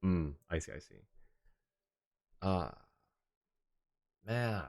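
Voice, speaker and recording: A man's voice: low-pitched, lively intonation, drawn-out words, a little mellow, no room sound. A man doing casual livestream gameplay commentary, muttering his thoughts aloud in short bursts and talking to chat. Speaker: game live streamer. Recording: game music behind the voice. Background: video game music.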